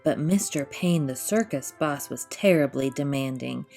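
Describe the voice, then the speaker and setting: A woman storyteller reading a rhyming children's picture book aloud, expressively, in measured rhythmic verse. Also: sits low, gravelly, darkish tone, faint music behind.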